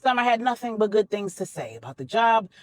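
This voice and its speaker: A woman narrating a story for adults in a dramatic, deliberate way, with measured phrasing. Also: medium pitch, big pitch swings, a little mellow, dry recording. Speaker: storyteller, host.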